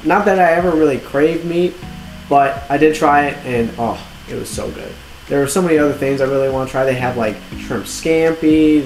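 A young man in his twenties casually reviewing restaurants to camera, relaxed and chatty, with lots of fillers and "all right?" check-ins. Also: fairly high pitch. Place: indoors on a couch in a living room. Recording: roomy echo, faint background music.

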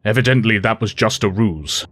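A man voicing a wise, troubled professor with a measured, earnest manner, in an audio drama. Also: lowish voice, a bit bright, big-room sound, lightly acted.

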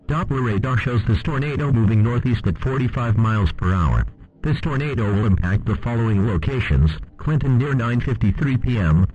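A synthetic-sounding man reading a scripted emergency weather warning in a formal, measured, impersonal way. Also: mid-range voice, warm tone, husky. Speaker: emergency alert announcer. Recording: narrow, phone-like radio broadcast sound.

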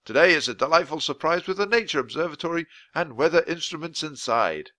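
A man voicing a tall, thin, polite older gentleman, speaking in a proper, old-fashioned way. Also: on the high side, lively intonation, brisk, no echo, pitched above the performer's own voice. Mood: formal.

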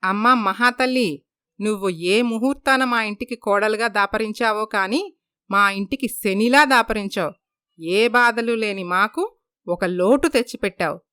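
A woman's voice: mid-range voice, unhurried, bright, forceful tone, clear, resonant tone, dry recording, studio quality. A woman voicing a grumbling, sarcastic older mother-in-law in an audio family story. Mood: irritated scorn. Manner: sarcastic, complaining.

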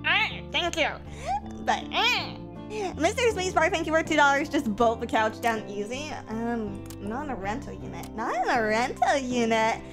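High-voiced woman streamer with a stuffy cold, casual chat with bursts of yelling, clear game music under her. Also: moderate pace. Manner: swings from mumbling to loud excited yelling.

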